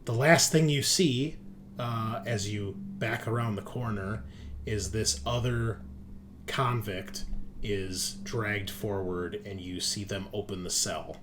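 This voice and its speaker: A man's voice: on the low side, a bit bright, slight background noise. A man narrating a tabletop roleplaying game as game master, talking conversationally and directly to his players.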